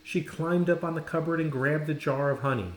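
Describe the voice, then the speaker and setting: A man narrating a children's storybook expressively, with dramatic, deliberate phrasing and pauses. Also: fairly high pitch. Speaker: storybook reader. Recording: roomy, echoing indoor sound.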